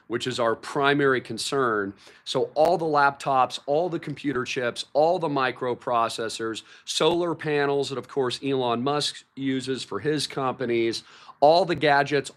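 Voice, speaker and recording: Hoarse hyped-up livestream host man. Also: on the high side, fairly bright tone. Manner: hyped, repeats words like massive and shocking. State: hoarse, recovering from a cold. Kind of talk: excited livestream market commentary.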